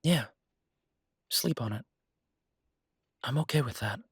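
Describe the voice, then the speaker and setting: A man playing a devoted, easygoing chef and reassuring partner in an intimate audio roleplay, speaking softly and haltingly with a husky voice. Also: medium pitch, expressive, no room sound, studio quality, heavily acted. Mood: tender, reassuring.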